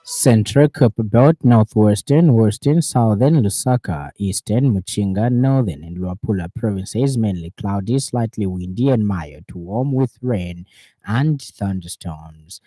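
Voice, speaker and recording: Dark, mellow Zambian male newsreader. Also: fairly low pitch, lively intonation, measured pace, no room sound, rough recording. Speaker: radio news reader. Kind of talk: scripted news bulletin reading. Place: radio studio.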